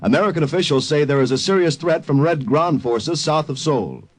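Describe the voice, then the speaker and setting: A man's voice: a little high, brisk. A man reading a scripted news bulletin in 1950s radio style. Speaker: radio newsreader. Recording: noisy old radio broadcast recording.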